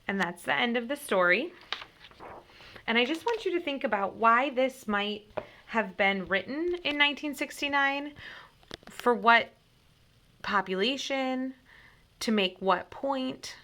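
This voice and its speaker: A woman reading a children's story aloud in an expressive, deliberate way, like a teacher. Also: on the high side, a little hiss or hum.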